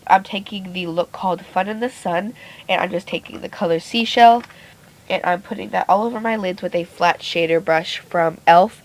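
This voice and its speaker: Brief: woman with a raspy voice, casual and rambly beauty vlogger, phone mic. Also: fairly low pitch, low-quality recording. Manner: chatty, rambling, self-correcting. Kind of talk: casual makeup tutorial narration. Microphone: phone camera.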